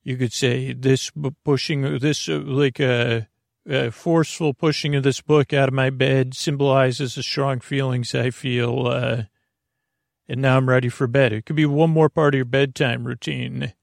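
A man hosting a bedtime podcast, rambling whimsically in a halting, self-interrupting way with a creaky, gravelly voice. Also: medium pitch, level tone, no echo, studio quality. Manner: halting, meandering, self-interrupting, talks to himself. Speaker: podcast host.

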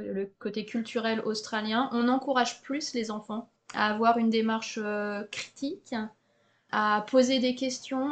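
A middle-aged French woman hosting a relaxed interview, talking with many hesitations and restarts. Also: fairly high pitch. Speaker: podcast host. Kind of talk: casual conversational interview.

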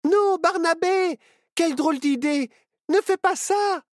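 Man putting on a high-pitched, excitable young child. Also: normal speed, no room sound, studio quality, lightly acted. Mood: desperate pleading. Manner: excitable, exclaiming. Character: brave, earnest young boy and his anxious child friends. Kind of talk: children's storybook character.

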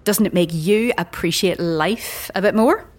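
An actress playing a very old, weary and sardonic priestess in a fantasy audio drama, blunt, with archaic slang and wry chuckles. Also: female voice, medium pitch, a little slow, close to an everyday voice. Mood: frank, curious. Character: very old striking woman, sardonic, weary, doubting death-cult priestess.

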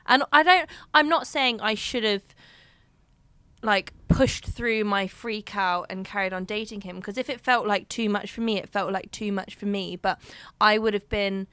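A young woman chats candidly on a podcast, circling back and restarting her sentences. Her voice is bright and smooth, and the recording is dry and close. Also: medium pitch, normal speed, dry recording. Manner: candid, rambling with many restarts. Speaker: podcast host. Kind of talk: casual chat.

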